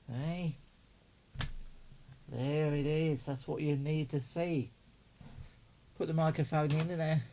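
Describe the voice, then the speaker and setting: A man's voice: on the high side, darkish tone, slight background noise. A man giving casual, doting unboxing commentary as a hobbyist, chatting and muttering to himself as he works. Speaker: radio hobbyist vlogger.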